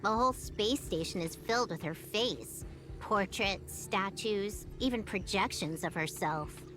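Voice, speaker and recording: A woman's voice: medium pitch, a little slow, close to an everyday voice. A woman voicing a smug, playful young female hacker in a video game, teasing and nonchalant. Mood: amused, teasing. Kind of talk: scripted video game dialogue. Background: game music.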